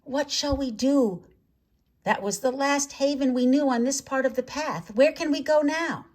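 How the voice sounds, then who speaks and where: A woman's voice: high voice, measured pace, darkish tone, voice pushed up. A woman voicing a swarm of fragile, frightened, lost butterflies in a storybook, delicate and pleading. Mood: despairing.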